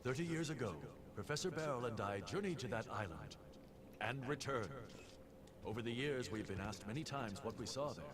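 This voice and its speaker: An actor playing a determined older explorer in a video game, speaking formally and showily like a practised orator. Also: male voice, medium pitch, animated, moderate pace, a crowd in the background, natural voice. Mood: grand, formal. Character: determined, grandiose older explorer addressing the press. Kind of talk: video game cutscene acting, formal speech. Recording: noisy game audio from a stream.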